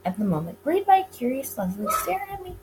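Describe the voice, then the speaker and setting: A woman's voice: high-pitched, very animated, quick pace, over music. A woman doing a let's play, narrating story text aloud and stumbling over the odd word. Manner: stumbles over words and rereads lines. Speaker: gamer reading a visual novel aloud. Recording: clear background noise.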